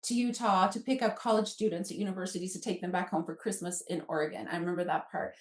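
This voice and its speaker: A woman's voice: fairly low pitch, steady tone, fast. A chatty woman in her fifties tells personal stories as a podcast guest, acting out remembered bits of dialogue. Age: 50s. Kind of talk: animated personal storytelling. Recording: echoey room.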